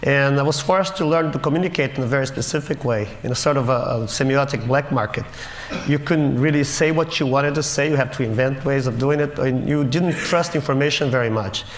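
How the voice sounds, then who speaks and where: A middle-aged man from Brazil, giving a humorous conference talk in a wry, self-mocking way with many halting restarts. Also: mid-range voice. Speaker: conference speaker. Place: conference auditorium stage. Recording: roomy hall with faint noise.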